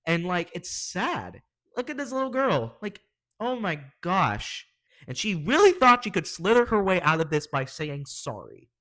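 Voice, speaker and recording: High-pitched, animated man reacting casually. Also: unhurried. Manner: exasperated, opinionated, slangy. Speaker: reaction video host.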